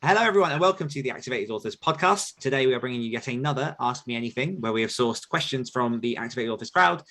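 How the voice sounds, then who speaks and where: A man's voice: mid-range voice, expressive, fast, pushed and bright, faint music behind. A man hosting a podcast, talking casually and rambling with many restarts and fillers as he answers questions. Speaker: podcast host and author coach. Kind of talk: casual conversational podcast chat.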